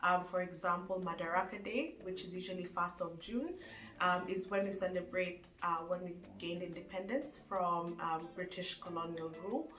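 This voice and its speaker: A woman's voice: fairly low pitch, steady tone, moderate pace, a bit bright. A young woman with a Kenyan accent, talking casually to camera on her vlog, with lots of fillers. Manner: chatty, with frequent fillers. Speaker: vlogger. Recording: echoey room, faint music behind.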